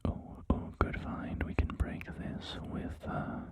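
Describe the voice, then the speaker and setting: A gaming ASMR creator whispering gameplay commentary into a close mic, soft and apologetic, rambling with many fillers. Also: slow.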